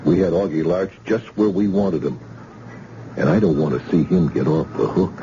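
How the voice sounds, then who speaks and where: A deep-voiced dogged detective. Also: male voice, normal speed, vocal fry. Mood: urgent. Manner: terse, probing, matter-of-fact. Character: dogged male police detective sergeant. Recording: dull old radio broadcast with hiss.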